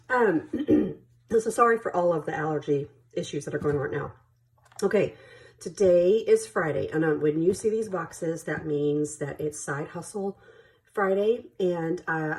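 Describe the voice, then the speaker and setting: A woman's voice: fairly low pitch, a little mellow. A woman hosting her own budgeting vlog, stuffy from allergies, chatting casually and counting money aloud. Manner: friendly, apologetic, laughs easily, counts money aloud. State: congested with allergies, sniffling and coughing. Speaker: budgeting channel host.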